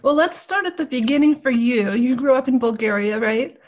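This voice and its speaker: Friendly young woman host with a slightly high voice, on a headset call. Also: moderate pace. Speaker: interview host. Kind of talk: video call interview. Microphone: headset on video call.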